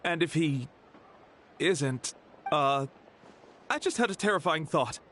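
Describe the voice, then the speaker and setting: A man playing an excitable young male citizen in a video game, eager and musing in a slightly hesitant way. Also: fairly high pitch, slow, a little hiss or hum.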